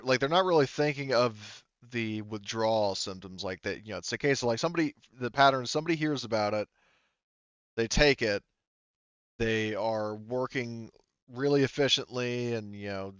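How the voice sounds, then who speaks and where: Male game master, low smooth voice, halting improvised narration, dry but slightly dull recording. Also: fairly bright tone, no echo. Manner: halting, thinking aloud. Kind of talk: unscripted narration of a tabletop roleplaying game.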